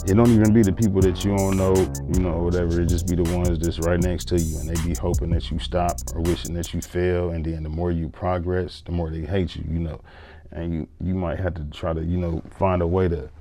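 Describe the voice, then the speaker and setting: A man hosting a show, talking through a rambling motivational monologue and repeating "you know what I'm saying.". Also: sits low. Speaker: show host reacting to a post. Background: music.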